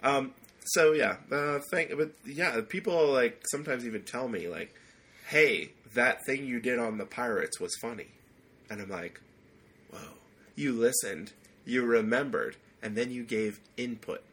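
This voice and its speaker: A man's voice: mid-range voice, measured pace, fairly bright tone, breathy edge. A man hosting a podcast, chatting in a casual, comic and rambling way. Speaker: podcast host.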